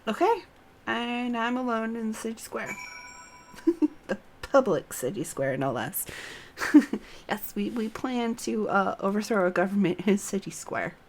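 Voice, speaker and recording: A woman's voice: fairly high pitch, very animated, a little slow, slight background noise. A woman live-streaming a game, reading quest dialogue aloud and talking to herself as she plays. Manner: talks to herself while playing.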